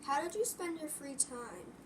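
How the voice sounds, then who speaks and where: A woman's voice: high voice, animated, moderate pace, background noise. A young woman interviewing an elder for a school project, tentative and often restarting her questions. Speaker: student interviewer.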